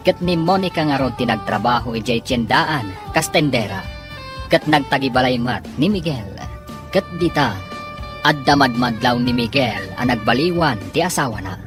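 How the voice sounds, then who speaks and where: A man with an Ilocano accent announcing and narrating a radio drama in an emphatic, scripted theatrical style. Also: medium pitch. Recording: loud music bed.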